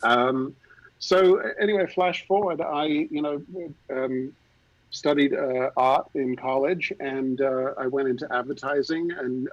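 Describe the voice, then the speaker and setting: A man's voice: medium pitch, no room sound. Australian man, accent worn down by years abroad, rambling call-in guest story, muffled dry audio. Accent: Australian, softened by years in the US. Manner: relaxed storytelling with many fillers. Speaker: guest, art designer. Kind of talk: casual video call chat. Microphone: video call.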